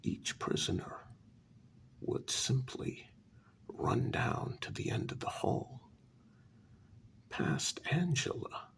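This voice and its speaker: A man telling a story in a soft-spoken ASMR style, breathy and deliberate, with many dramatic pauses. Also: medium pitch, sing-song. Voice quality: breathy half-whisper with heavy creak. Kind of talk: soft-spoken ASMR story narration, first-person role-play.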